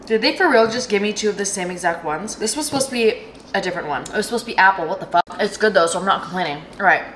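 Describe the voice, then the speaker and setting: A woman's voice: medium pitch, racing, a bit bright, slight background noise. A nineteen-year-old woman talking casually to camera as a vlogger, candid and slangy with some swearing. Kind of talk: casual chatty vlog to camera.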